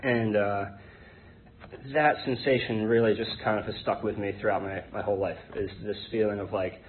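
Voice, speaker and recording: American guy in his 30s, halting rambly personal talk, sounds like a phone line w some background noise. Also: on the low side, steady tone. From born in Hong Kong to an English identity, raised in Connecticut, United States. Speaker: guest speaker at an Alcoholics Anonymous meeting. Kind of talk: informal personal testimony to a group. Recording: tinny phone-like band, noisy background.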